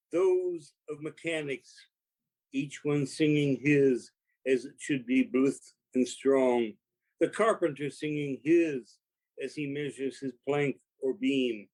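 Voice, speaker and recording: A man reciting poetry dramatically, with measured phrasing and deliberate pauses. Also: a little high, darkish tone. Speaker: poetry reader.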